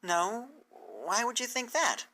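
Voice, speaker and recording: Woman voicing an eager, high-pitched bookish pony scholar. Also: very animated, slow, bright, forceful tone, heavily acted. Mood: friendly. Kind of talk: fan fiction character reading.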